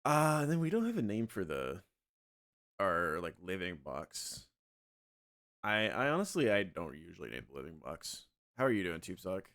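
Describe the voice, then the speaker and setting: A man's voice: deep voice, normal speed. Casual man with a slightly scratchy voice. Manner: relaxed, rambling, talks to chat. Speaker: streamer. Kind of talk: casual livestream chat.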